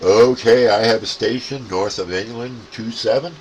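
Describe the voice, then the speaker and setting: A man's voice: fairly low pitch, moderate pace, noisy background. An American man from upstate New York chatting casually as a CB radio operator, using call signs and radio jargon. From upstate New York, USA. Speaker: amateur CB radio operator, channel co-host. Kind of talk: casual radio contact chat.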